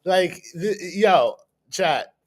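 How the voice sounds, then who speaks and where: A man's voice: high voice, animated, extremely slow. A man hosting a livestream, giving casual, slangy reaction commentary, talking to chat and mimicking people. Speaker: livestream host.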